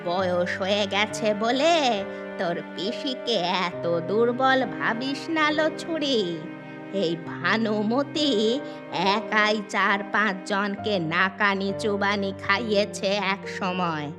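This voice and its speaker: A woman voicing a stern, boastful old village aunt in a cartoon, with slow, drawling scolding. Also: medium pitch, music in the background, lightly acted. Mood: boastful, vengeful. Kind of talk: Bengali cartoon story acting.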